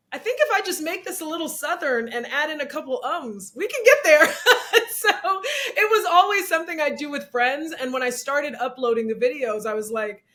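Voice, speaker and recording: A young adult woman with a slightly raspy voice, speaking candidly in an interview, animated and laughing often as she acts out what people said. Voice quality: slightly raspy, low-set voice. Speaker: actress and comedian, interview guest.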